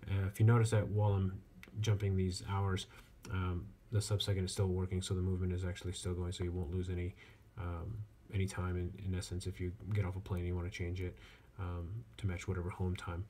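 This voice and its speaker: A man giving a casual hands-on product review as a reviewer, speaking in a halting way with frequent pauses. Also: deep voice. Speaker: watch reviewer. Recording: clear steady background noise.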